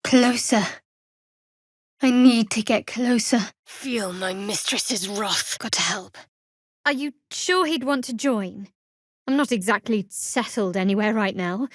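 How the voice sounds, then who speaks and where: A woman voicing a guarded, sardonic young cleric of a dark goddess in a fantasy video game, with a dry, measured, wry delivery. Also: mid-range voice, slightly rough, no room sound. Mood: urgent.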